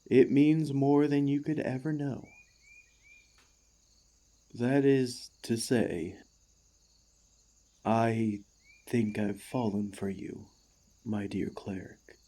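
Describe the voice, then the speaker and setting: This is a devoted warforged ex-gladiator played by a man in an ASMR roleplay. The voice is soft-edged and mellow, and the words come slowly and haltingly. The construct speaks with formal courtesy and earnest, easily stirred feeling. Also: medium pitch, fairly even intonation. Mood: flustered, tender. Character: emotional, excitable warforged ex-gladiator, gentle and devoted.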